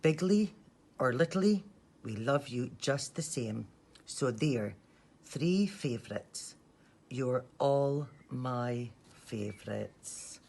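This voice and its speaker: A woman's voice: a bass voice, expressive, slow pace, vocal fry, a little mellow, heavily acted. A woman voicing a loving, reassuring daddy bear from a children's storybook in a tender, soothing manner.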